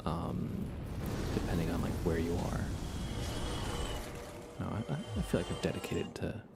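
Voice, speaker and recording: A man with a low-pitched, creaky voice gives hushed ASMR commentary while streaming a game. He speaks softly and sometimes drops toward a whisper. There is clear noise behind his voice. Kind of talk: soft-spoken ASMR live game commentary.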